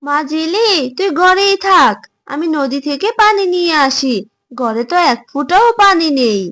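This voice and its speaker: A woman plays a kind jackal mother in a children's cartoon fable. Her voice is high, gentle and motherly, with a thrifty, practical turn. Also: expressive, unhurried, exaggerated voice. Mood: caring. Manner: gentle, motherly, thinking aloud. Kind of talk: Bangla cartoon fable voice acting.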